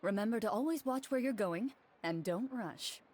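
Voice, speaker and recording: A woman voicing a composed female duelist, speaking calmly and formally in video game dialogue. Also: on the high side, a little hiss or hum, lightly acted. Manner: calm, measured, formal.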